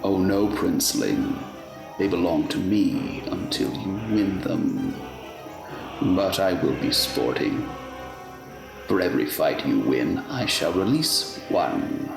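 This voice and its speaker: Man playing a gravelly, menacing dark fae king. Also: low-pitched, expressive, exaggerated voice. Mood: taunting. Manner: slow, deliberate, taunting. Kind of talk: fantasy radio play acting. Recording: roomy echo under music.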